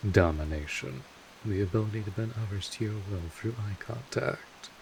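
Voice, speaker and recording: A man playing a gruff but caring vampire mentor in an audio roleplay, explaining things in an informal, rambling, mildly exasperated way. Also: fairly low pitch, lively intonation, moderate pace, darkish tone, a little hiss or hum, low-quality recording. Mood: instructive, matter-of-fact. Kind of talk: scripted audio roleplay addressed to the listener.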